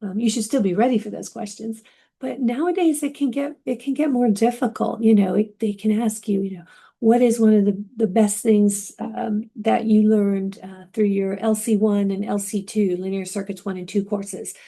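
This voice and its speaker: A woman's voice: medium pitch, fairly even intonation, moderate pace, vocal fry, dark tone, slightly rough. A woman instructor giving a recorded class lecture in a conversational way, with frequent ums and restarts.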